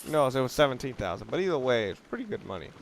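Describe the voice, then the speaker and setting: A man giving casual, excitable let's play commentary on a video game, with casual swearing. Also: medium pitch, racing. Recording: clearly noisy home recording.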